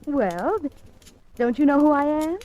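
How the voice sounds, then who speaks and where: Young female lead, vintage radio thriller: higher voice, flustered, coy, quietly determined, slight old-broadcast noise. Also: lively intonation, measured pace. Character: nervous young woman coyly sleuthing, tender, flustered, determined. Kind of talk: 1940s radio acting. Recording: noisy vintage radio recording with clicks.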